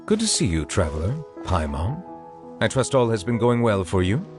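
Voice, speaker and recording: A formal, composed judge. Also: male voice, on the low side, very animated. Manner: formal, measured, precise wording. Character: formal chief justice, composed and courteous. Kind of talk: video game dialogue. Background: music.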